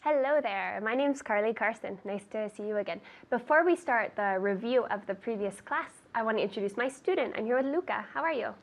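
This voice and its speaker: A woman's voice: a little high, lively intonation, fairly quick, few pauses, background noise. A woman giving an interactive English lesson, encouraging and repeating words to model pronunciation. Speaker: English teacher and presenter. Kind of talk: interactive language lesson, prompting and correcting.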